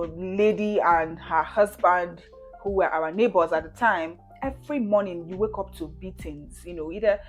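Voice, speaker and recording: A chatty woman in her twenties, from Nigeria, talks to her camera in a relaxed vlog. She leans on "you know" and "right?" and laughs easily. A faint music bed runs under her mid-pitched voice. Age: 20s. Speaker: vlogger answering viewer questions. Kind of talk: casual talk to camera.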